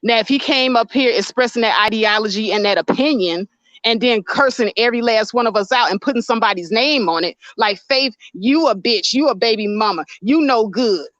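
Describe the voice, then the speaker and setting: Woman streamer, powerful voice, blunt and argumentative, fairly high, lo-fi dry sound. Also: brisk, fairly bright tone, no room sound. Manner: blunt and argumentative, reading chat aloud. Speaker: livestream host. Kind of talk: casual livestream commentary.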